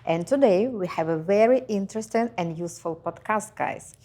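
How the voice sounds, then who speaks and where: A woman hosting an interview, speaking in halting, simple phrases. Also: medium pitch, lively intonation, warm tone. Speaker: host.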